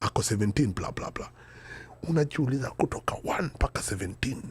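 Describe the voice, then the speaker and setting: A man's voice: medium pitch, animated, fairly quick, darkish tone, husky. A middle-aged man with a Kenyan accent who switches between English and Sheng in mid-sentence, talking emphatically and asking rhetorical questions in a panel discussion. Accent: Kenyan, mixing English and Sheng Swahili. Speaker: podcast host.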